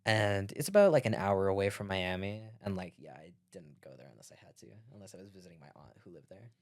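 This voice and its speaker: A man from Latvia, a podcast guest, talking casually in a relaxed, unhurried way with many pauses. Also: low-pitched. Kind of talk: casual conversation.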